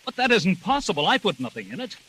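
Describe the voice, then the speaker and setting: An actor playing an agitated, defensive husband under suspicion in a 1940s radio murder drama. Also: male voice, high-pitched, very animated, fast talker, pushed and bright, slight background noise, exaggerated voice, poor audio. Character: agitated, defensive husband suspected of killing his wife.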